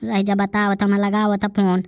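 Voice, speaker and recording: A woman's voice: mid-range voice, completely flat delivery, normal speed, dry recording, studio quality, close to an everyday voice. A woman voicing a sassy, wordy, bickering rustic character in a village comedy cartoon, with a Bundelkhandi accent. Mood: taunting. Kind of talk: dialect comedy cartoon dialogue.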